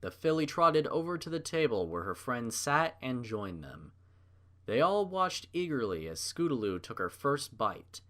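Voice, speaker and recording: A man's voice: mid-range voice, big pitch swings, a little slow, fairly bright tone. A man reading a scripted story as its narrator. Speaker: narrator of a fan fiction reading.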